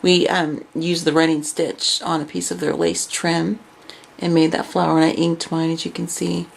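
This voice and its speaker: A woman casually showing her craft projects and chatting about them, her voice raspy from overuse and her talk full of fillers. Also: low-pitched, flat delivery, normal speed. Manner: chatty, filler-heavy, enthusiastic. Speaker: craft vlogger. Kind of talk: casual show-and-tell.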